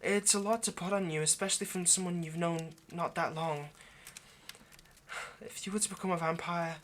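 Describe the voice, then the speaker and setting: A man's voice: fairly high pitch, steady tone, normal speed, a little hiss or hum, close to an everyday voice. A man playing an earnest, lovestruck vampire in an intimate roleplay monologue, speaking hesitantly and persuasively. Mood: hesitant, reassuring. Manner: hesitant, stammering, gently persuasive. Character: earnest, lovestruck male vampire coaxing a partner.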